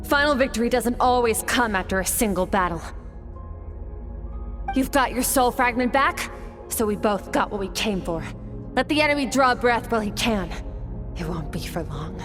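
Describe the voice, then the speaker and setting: A woman's voice: on the high side, lively intonation, normal speed, long pauses, music in the background, lightly acted. A woman playing a stoic, battle-hardened, aloof female warrior in a fantasy game, terse and commanding. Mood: commanding, composed. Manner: terse, matter-of-fact, commanding. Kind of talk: scripted fantasy game voice acting.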